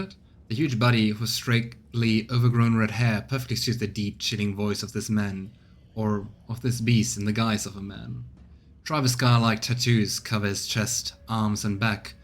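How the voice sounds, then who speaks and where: Lowish-voiced man narrating a game stream. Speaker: streamer, let's player. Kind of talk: reading game text aloud with casual commentary.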